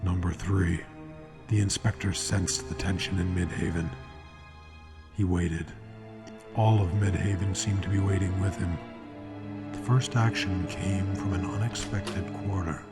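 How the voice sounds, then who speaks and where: Man as a low, grave crime-story narrator. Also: fairly even intonation. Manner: deliberate, dramatic. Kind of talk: scripted true-crime radio narration. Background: music.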